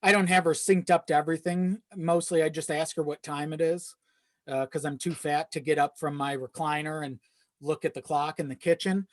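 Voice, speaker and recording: A man's voice: on the high side, fairly even intonation, moderate pace, no room sound, studio quality. A man speaking as a podcast guest in casual, profane, self-deprecating chat.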